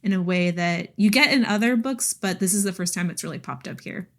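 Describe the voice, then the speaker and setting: A woman's voice: on the low side, fairly quick, fairly bright tone. A woman co-hosting a podcast, chatting casually about books in a giggly, enthusiastic way. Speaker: podcast co-host. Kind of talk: casual book chat.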